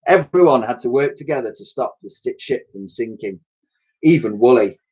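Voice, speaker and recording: Animated man reading a children's story. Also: medium pitch, moderate pace, slightly rough, lo-fi sound. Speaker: story reader.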